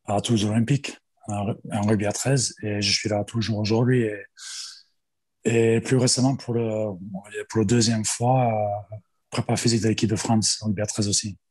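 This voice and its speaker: A man's voice: on the low side, darkish tone, slightly rough, low-quality recording. A man in his forties with an Australian accent, a strength coach who speaks French hesitantly as a podcast guest, with many fillers and restarts. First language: English. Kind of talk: interview guest.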